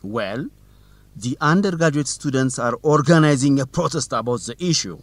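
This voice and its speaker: An actor playing a teasing, suspicious young student newsletter reporter in an educational radio drama, with a determined manner. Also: male voice, mid-range voice, animated, moderate pace, no room sound, natural voice. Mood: skeptical.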